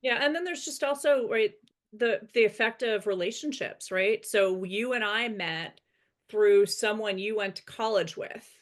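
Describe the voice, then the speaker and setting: A woman's voice: medium pitch, unhurried. A woman executive coach, a guest on a webinar, explains her ideas steadily over a video call and keeps checking in with "right?". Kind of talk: casual webinar conversation.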